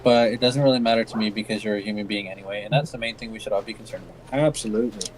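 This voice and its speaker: A man's voice: fairly low pitch, very fast, poor audio. A man chatting casually as co-host, laid-back and joking, with many "uh"s and "yeah"s. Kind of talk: casual chat.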